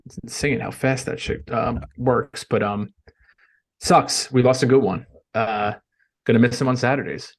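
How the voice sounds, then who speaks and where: A young man co-hosting a casual sports podcast, speaking in a monotone and often halting on fillers. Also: mid-range voice, breathy edge. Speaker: podcast co-host.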